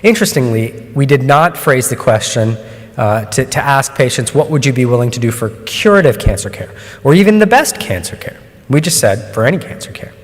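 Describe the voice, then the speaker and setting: A man of medium pitch lectures as an oncologist, speaking in a measured, explanatory way and often stopping on ums to restart a phrase. His voice rings with the long reverb of a large hall, picked up by a lectern microphone. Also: expressive. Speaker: oncologist giving a talk. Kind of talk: conference presentation.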